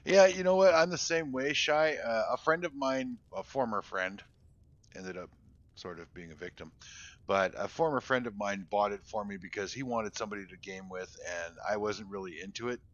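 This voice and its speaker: A man's voice: lowish voice, normal speed, a bit bright. A man streaming a game, chatting casually with viewers in a rambling way and muttering under his breath between remarks. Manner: rambling, talks to chat while playing, drops into mutters. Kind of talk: casual live-stream chat. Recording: dull lo-fi stream audio.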